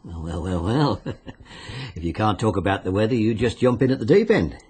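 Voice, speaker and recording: An actor playing a shady disbarred lawyer turned betting agent in a radio drama, with a husky voice and an insinuating, wryly jovial manner. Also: male voice, lowish voice, sing-song, moderate pace, darkish tone. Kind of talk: 1940s-style British radio drama acting.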